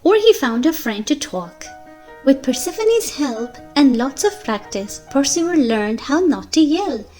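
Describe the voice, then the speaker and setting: Female storyteller for kids' read-aloud, high register, very varied intonation, unhurried delivery. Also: faint music behind. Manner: slow, animated storytelling for young children. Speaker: children's story reader. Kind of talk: expressive picture-book read-aloud.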